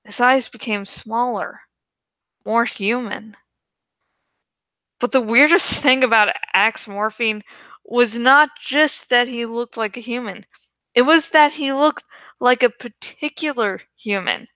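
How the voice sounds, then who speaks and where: A woman reading a story aloud in expressive narration. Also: on the high side, takes it slowly, no echo. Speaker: audiobook reader.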